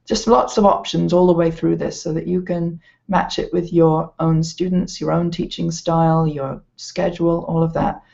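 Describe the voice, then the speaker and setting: A woman's voice: lowish voice, fairly even intonation, vocal fry, dark tone. A woman giving an informal, hesitant walkthrough as an educational presenter. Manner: hesitant, halting delivery. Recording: slightly dull, lo-fi sound.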